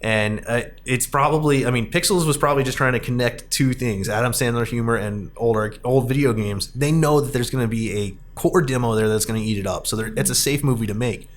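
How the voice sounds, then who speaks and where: A man in his thirties hosting a casual discussion of films and arcade games, halting and often correcting himself. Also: mid-range voice. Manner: rambling, halting, self-correcting. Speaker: podcast host.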